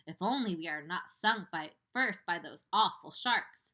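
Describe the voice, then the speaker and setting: Young boy hero, firm and confident, lively storybook voice, read by a woman. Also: on the low side, measured pace.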